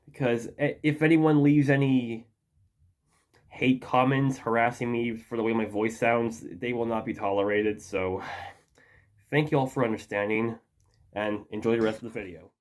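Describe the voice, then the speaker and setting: A man's voice: mid-range voice, fairly even intonation, moderate pace. A man in his early twenties with a youthful-sounding voice, talking casually as a video host with chatty, apologetic asides. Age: early 20s. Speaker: YouTuber host.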